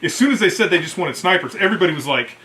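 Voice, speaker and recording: Bright-voiced man telling military war stories. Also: sits high, rapid-fire, slight background noise. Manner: profane, colloquial, animated retelling. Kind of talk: casual storytelling with acted-out quotes.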